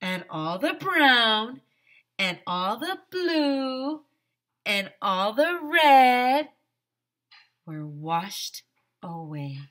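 A woman in her thirties, a teacher, reading a picture book aloud to young children in a theatrical, exaggerated, sing-song manner with drawn-out words. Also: on the high side, sing-song, fairly bright tone. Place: small decorated room, indoors. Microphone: headset.

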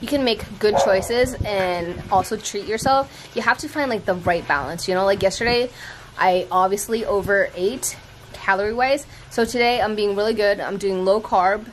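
A woman's voice: mid-range voice, normal speed, background noise. An American woman in her thirties chatting casually and expressively to camera in an informal vlog, held at arm's length. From Thailand-born, raised in the United States. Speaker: fitness vlogger. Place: outdoor patio by a pool at home.

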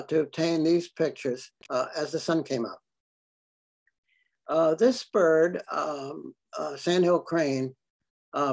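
Halting, gravelly-voiced older man presenting slides. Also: on the high side, low-quality recording. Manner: halting, many fillers. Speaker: birding presenter. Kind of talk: slide talk to a club.

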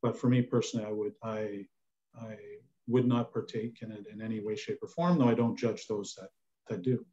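A man's voice: on the low side, brisk, mellow. An older man giving his opinions as a chef on a panel, repeating words for emphasis. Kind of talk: panel discussion. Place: indoors on a video call. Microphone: video call. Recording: slightly dull video-call audio.

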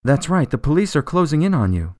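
A man's voice: a little high, big pitch swings, fairly quick, a little mellow, no room sound, studio quality. A man playing a desperate, exasperated jailed politician in a radio drama, insistent and testy. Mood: testy, urgent.

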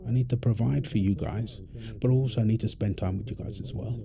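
A man playing an affectionate, tired husband and father in an intimate audio roleplay, talking tenderly with soft endearments. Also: on the low side, fairly even intonation, brisk. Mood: caring, relaxed. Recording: clear background ambient noise.

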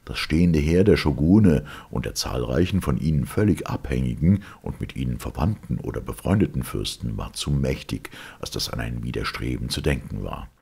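A man reading a legend aloud as a narrator, in a measured, formal storytelling delivery. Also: rumbling low, animated, vocal fry, dark tone, husky.

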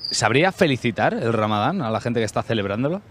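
A man's voice: medium pitch, animated, quick pace, noisy background. A man interviewing passers-by on a city street with a handheld mic, asking formal questions. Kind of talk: vox pop street questions.

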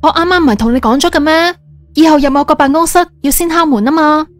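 A woman's voice: high-pitched, quick pace, soft background music, natural voice. A woman playing a stern, easily flustered young female boss in an audio story, with a Hong Kong Cantonese accent. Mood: stern, suspicious.

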